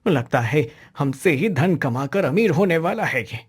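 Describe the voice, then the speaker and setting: A man's voice: a little high, animated, a little slow, slightly rough. A man playing an exasperated, fretful royal minister and father in a cartoon story. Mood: exasperated, worried.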